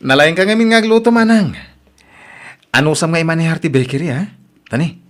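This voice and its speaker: A man's voice: on the high side, lively intonation, normal speed, natural voice. A man playing an eager young businessman. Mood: cheerful. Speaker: younger brother in audio drama. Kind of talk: Ilocano audio drama acting.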